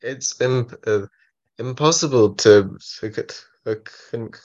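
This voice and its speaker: A young man in his twenties who stutters heavily, repeating sounds and filling pauses as he answers interview questions. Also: medium pitch, a little mellow. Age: 20s. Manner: heavy stutter with many repetitions and fillers. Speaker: college student guest. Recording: dull muffled lo-fi audio.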